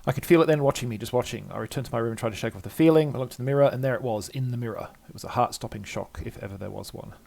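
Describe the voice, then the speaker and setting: A man acts out a fretful scholar from a fantasy game journal, gravelly and quick. He rambles, repeats himself and frets over his own sanity. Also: medium pitch, darkish tone. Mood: fretful, haunted. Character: anxious, muttering male scholar doubting his own sanity. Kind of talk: dramatic reading of game journal entries.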